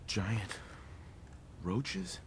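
A man saying short quiet lines as a game's player character. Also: fairly low pitch, big pitch swings, very slow, vocal fry, slightly rough.

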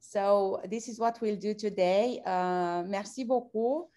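Slow, halting woman hosting online. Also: medium pitch, dark tone. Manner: halting, many "uh" pauses. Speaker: event host. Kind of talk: online event moderation.

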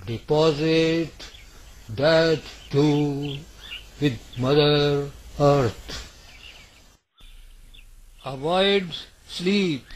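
Male meditation guide, higher-pitched voice, extremely slow delivery in brief phrases, faint background noise with birdsong. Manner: deliberate short phrases with long pauses. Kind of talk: slow guided yoga nidra meditation narration.

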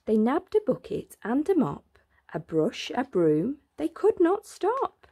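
Slow-paced woman reading a children's story. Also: high voice, wildly swooping pitch, dark tone, husky. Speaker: storyteller reading a children's picture book aloud. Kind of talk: expressive read-aloud of a rhyming bedtime story.

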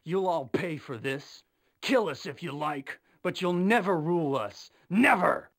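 An actor playing a defiant, embittered prisoner in a video game, with a strained, creaky voice. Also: male voice, a little high, animated, measured pace, a bit bright, heavily acted. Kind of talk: video game cutscene acting.

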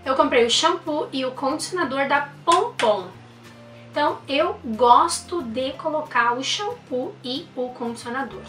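A woman's voice: high-pitched, expressive, a little slow, a bit bright, roomy sound, distant mic, faint music behind. A young woman, reviewing baby products in a chatty, enthusiastic way and using lots of diminutives. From Brazil. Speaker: mom vlogger. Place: indoor room.

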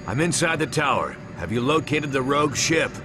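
An actor playing a tough, determined lieutenant in a video game, with terse, intense military speech. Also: male voice, medium pitch, expressive, fairly quick, very noisy, close to an everyday voice. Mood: urgent. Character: tough, determined male soldier, a lieutenant in battle. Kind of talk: dramatic video game voice acting.

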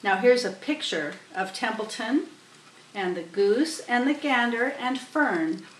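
Slow-paced middle-aged woman reading aloud. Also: on the high side, lively intonation, a little hiss or hum. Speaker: storybook reader. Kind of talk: read-aloud children's story narration. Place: small room indoors. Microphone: webcam a little way off. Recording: roomy, distant webcam microphone.

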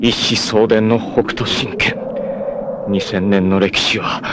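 A man's voice: on the low side, fairly even intonation, takes it slowly, background noise. An actor voicing a proud, fierce young male martial arts warrior in a 1980s anime, with a grave, intense and dramatic delivery.

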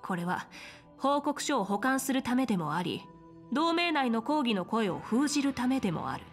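An actress playing a confident female military general in a fantasy game, speaking in an assertive, measured and frank manner. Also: a little high, sing-song, soft background music. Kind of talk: dramatic Japanese game voice acting.

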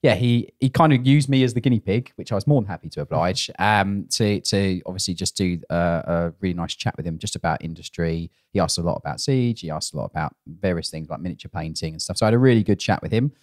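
A man's voice: sits low, fast, hardly any pauses, darkish tone, no echo. A man in his thirties with a southern English accent, chatting casually and ramblingly as a podcast co-host on a close mic. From Essex, England. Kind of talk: casual chat. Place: small podcast studio. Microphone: close microphone on boom arm.

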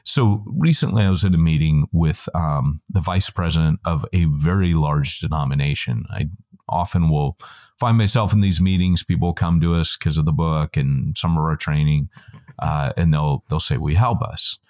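A middle-aged man, a guest on a video call, giving a long, animated answer full of quick asides and self-corrections, heard through wired earbuds in a room at home. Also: a bass voice. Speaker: guest, church planting trainer.

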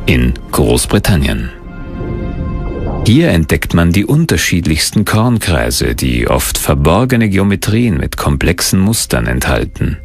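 A man reading scripted documentary narration in a measured, mysterious storytelling tone. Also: sits low, very animated, gravelly, faint music behind.

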